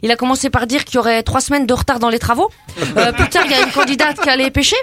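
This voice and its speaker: A woman's voice: sits high, rapid-fire, fairly bright tone, no room sound. A woman playing a cheeky, naive young woman from the suburbs, talking in a slangy street manner with a French banlieue accent in a scripted radio comedy sketch. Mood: chatty. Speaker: comedy columnist.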